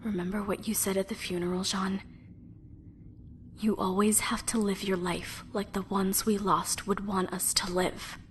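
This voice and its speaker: Girl playing a serious caring soldier girl, anime fan drama, super slow breathy near whisper, bit of noise. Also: mid-range voice, flat delivery, lightly acted. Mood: gently firm. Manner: measured, soft-spoken. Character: solemn, tender young female soldier, stoically caring. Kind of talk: fan dub anime parody acting. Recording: faint background noise.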